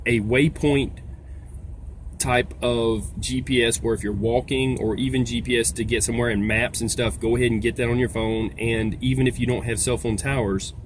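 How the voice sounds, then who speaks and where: Man rambling casually over background noise. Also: medium pitch. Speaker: video host, trucker. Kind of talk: casual explainer vlog. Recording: noisy, vehicle-like background sound.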